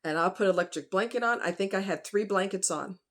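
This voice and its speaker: A woman's voice: mid-range voice, a little fast, a bit bright. A woman vlogger talking casually and directly to her viewers in a folksy, conversational, emphatic way.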